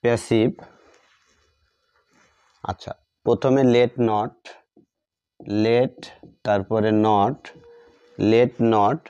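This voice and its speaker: A man's voice: on the low side, steady tone, drawn-out words, long pauses, warm tone, smooth, dry recording, highs cut off. A man with a Bengali accent teaching grammar like a classroom teacher, repeating phrases step by step as if dictating while he writes. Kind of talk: classroom lesson, explaining while writing out examples.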